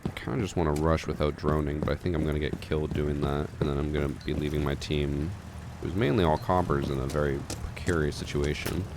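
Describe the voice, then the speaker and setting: A man with an extremely deep, gravelly voice talks calmly and without excitement while he plays a shooter live. Loud gunfire and clicks from the game are heard clearly behind him. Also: a little fast, noisy background. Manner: calm, unexcited. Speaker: streamer. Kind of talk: casual gameplay commentary with chat.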